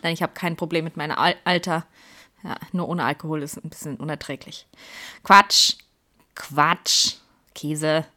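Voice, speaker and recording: A woman's voice: low voice, steady tone, unhurried, bright, forceful tone. A woman in her thirties from Germany chats through her own podcast episode, rambling and correcting herself as she goes. Now and then she drops to a half-whisper. Beneath the jokes she sounds stressed before an exam. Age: 30s. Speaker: podcast host. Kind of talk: casual chat.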